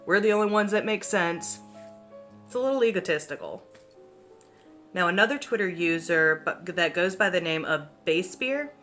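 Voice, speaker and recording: A woman's voice: fairly low pitch, sharp tone. A young woman hosting a YouTube video, chatting casually to viewers in an informal, emphatic way with the odd swear word. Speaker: YouTube host. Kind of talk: casual chatty commentary to viewers on internet mysteries.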